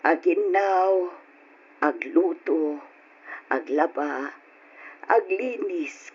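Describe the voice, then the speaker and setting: A woman playing a frail, confused elderly mother in a radio drama, stubborn yet doting, with a husky voice. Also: low-pitched, a little mellow, full character voice. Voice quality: husky, raspy. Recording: dull lo-fi radio drama recording.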